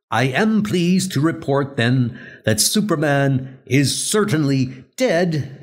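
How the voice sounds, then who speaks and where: A man playing a smug Soviet official who reports formally and deliberately in an audio comic book. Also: a little high, voice pushed up.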